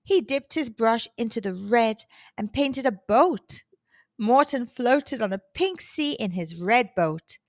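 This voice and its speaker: A woman hosting a children's storytime, reading aloud expressively with deliberate, dramatic emphasis. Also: fairly high pitch, expressive, dry recording, clean studio sound. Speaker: children's storytime host and narrator.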